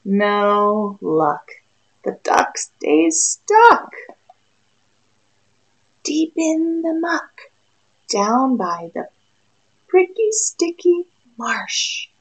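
A woman's voice: sits high, sing-song, very slow, pauses often, low-quality recording. A woman in her thirties, a preschool teacher, reading a picture book aloud expressively and in a sing-song way to young children. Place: small room indoors.

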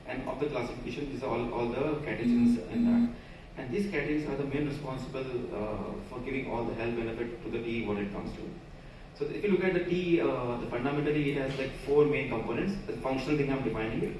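Indian English man, quick conference talk, restarts and fillers, booming hall reverb, distant mic. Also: noisy background. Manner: loose phrasing with frequent restarts and fillers. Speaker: conference speaker. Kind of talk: conference presentation. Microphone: podium microphone, recorded from far camera. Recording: echoey hall from far off.

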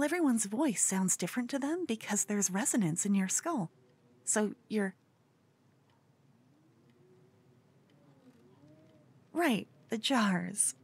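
A woman voicing a cute, obsessed human fangirl in an ASMR roleplay, stammering, flustered and brazen, often slipping toward a whisper. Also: medium pitch. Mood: playful, smitten. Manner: stammering, breathy, dropping into whispers. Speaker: ASMR voice performer.

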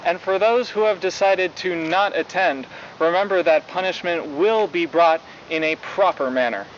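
A young man playing a cheery, faintly menacing high school news anchor in a 1990s horror broadcast, with formal, polished delivery. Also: moderate pace, natural voice. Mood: formal, upbeat. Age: young adult. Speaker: news host. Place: outdoors in a forest at night. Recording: dull lo-fi VHS-style audio, faint noise.

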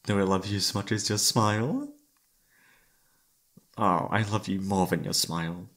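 A man's voice: lowish voice, a little slow. A man playing an exhausted, affectionate young boyfriend in an intimate ASMR roleplay, speaking hesitantly with sighs. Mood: apologetic, loving. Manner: tired, sighing, hesitant, soft-hearted.

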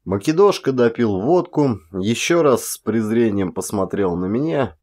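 A man reading literary prose as an actor, in expressive scripted narration. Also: mid-range voice, moderate pace.

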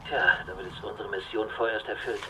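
An actor playing a careful, uneasy astronaut scientist in an audio drama, precise and measured. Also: male voice, on the low side, steady tone, pushed and bright, a little hiss or hum.

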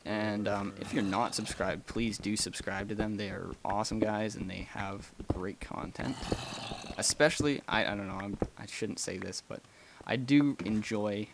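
A man's voice: lowish voice, steady tone, moderate pace, vocal fry, background noise. A young man casually chatting and rambling through a let's play, with frequent ums and small laughs. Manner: rambling and chatty, many ums, gasps and laughs while playing. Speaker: gaming channel host.

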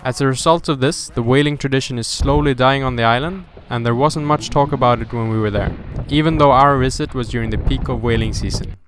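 Calm man narrating over music. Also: mid-range voice, a little fast, few pauses. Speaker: sailing vlogger narrator.

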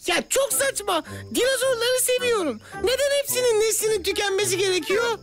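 A woman voicing an excitable, soft-hearted cartoon child character with a dramatic, exclamatory delivery. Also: fairly quick. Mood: dismayed. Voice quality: very high, childlike. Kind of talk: cartoon voice acting. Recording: loud music behind.

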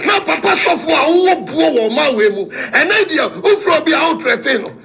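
A man's voice: very high voice, big pitch swings, moderate pace, pushed and bright, faint music behind. A middle-aged Ghanaian-accented man in heated live talk show banter, exclaiming and repeating phrases for emphasis. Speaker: radio show panelist. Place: small radio studio, indoors. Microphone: studio microphone with pop filter.

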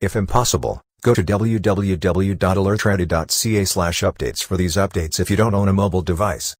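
A man with a deep voice reads a scripted emergency broadcast announcement. The recording is very dry and close. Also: a little fast, no echo.

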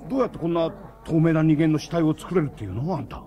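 An actor playing a baffled, talkative eyewitness in a radio drama. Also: male voice, on the high side, lively intonation, rapid-fire, dull audio, lightly acted. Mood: bewildered. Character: baffled, talkative male witness. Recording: busy crowd ambience behind.

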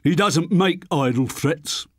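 A man voicing a loyal, burly chauffeur who speaks in a flat, matter-of-fact way. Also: on the high side, takes it slowly, dry recording, studio quality, pitched above the performer's own voice. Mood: warning.

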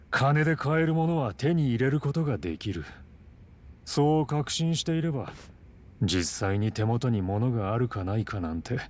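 A man plays a courteous crime boss from a video game, composed and quietly menacing. His formal, condescending speech moves through lively pitch swings, with faint ambience behind it. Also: slight background noise, lightly acted. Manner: formal, polite, measured, condescending. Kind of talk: Japanese video game dub acting.

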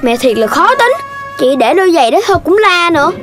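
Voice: very high-pitched, very animated, brisk, over music. A performer voicing a young, whiny, careless puppy boy in a children's cartoon dub. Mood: sulky.

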